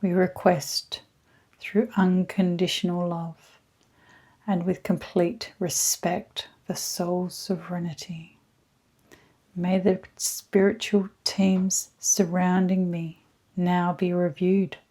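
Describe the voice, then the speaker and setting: A woman leading a scripted guided meditation in a soft, at times breathy voice, with hushed, soothing, deliberate phrasing and frequent pauses. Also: lowish voice, level tone. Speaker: guided meditation leader, energy healer.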